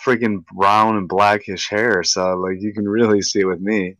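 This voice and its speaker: Man in his thirties, low voice, dry close sound, laid-back and stammery. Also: level tone, normal speed, a bit bright, no room sound, poor audio. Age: 30s. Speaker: livestream co-host. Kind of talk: casual chat.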